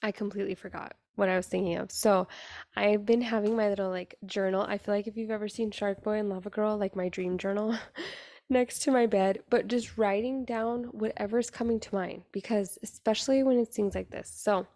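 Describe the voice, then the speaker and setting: A young woman hosting her podcast, talking in a raspy voice with an earnest, chatty manner full of fillers. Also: medium pitch, steady tone, brisk, warm tone, dry recording, clean studio sound. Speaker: podcast host. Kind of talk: casual solo podcast monologue.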